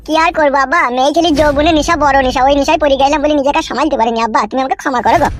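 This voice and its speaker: A man's voice: extremely high pitch, fairly even intonation, very fast, fairly bright tone, slight background noise. A man voicing a hapless young village son in a comic cartoon, making excuses in a whiny, melodramatic, pleading way. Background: faint music.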